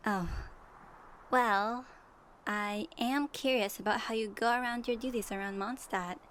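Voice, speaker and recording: A woman playing a gentle, curious young female traveler in a soft ASMR audio roleplay, pausing as if for an unheard partner. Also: fairly high pitch, fairly even intonation, a little hiss or hum, poor audio. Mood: curious, teasing.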